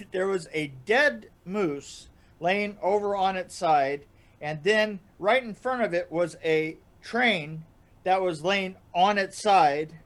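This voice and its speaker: An older man telling anecdotes casually in a rambling, halting way, chuckling as he goes. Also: high voice. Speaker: podcast storyteller guest. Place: indoor room on a video call.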